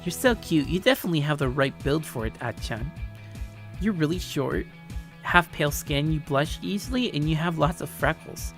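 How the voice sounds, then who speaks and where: Man voicing a breezy, teasing tomboy girl, lifted pitch. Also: lively intonation, normal speed, fairly bright tone, soft background music. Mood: playful teasing. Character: tall, confident, playfully teasing tomboy girl. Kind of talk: acted story dialogue.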